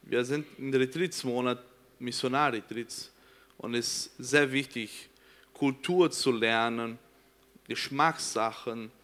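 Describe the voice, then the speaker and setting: Brazilian guy, portuguese is his first language, slow earnest german, bright tense voice. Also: medium pitch, fairly even intonation. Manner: earnest, emphatic, searching for German words. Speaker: missionary. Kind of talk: presentation to a congregation.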